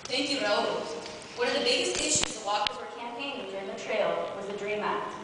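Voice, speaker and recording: Woman co-presenting, fairly high voice, far from the mic in a big hall. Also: fairly quick. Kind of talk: prepared presentation. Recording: echoey hall, distant mic, noisy.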